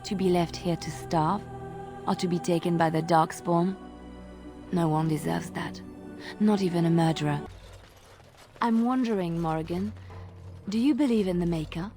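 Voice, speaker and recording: An actress playing a devout, idealistic young companion in a fantasy game, speaking in a gentle, earnest way. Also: female voice, fairly low pitch, moderate pace, pauses often, music in the background, natural voice. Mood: troubled. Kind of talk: dramatic game dialogue.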